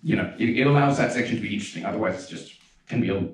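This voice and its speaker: A young man speaks to a conference audience in a relaxed, rambling way, often restarting his sentences. His voice sits low. He is recorded in a large, echoing concert hall, and the sound is picked up from some distance. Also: rapid-fire. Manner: relaxed, chatty, with frequent fillers and restarts. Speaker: pianist giving a keynote talk. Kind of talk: conference lecture with video examples. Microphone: handheld mic. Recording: roomy hall sound, mic heard from a distance.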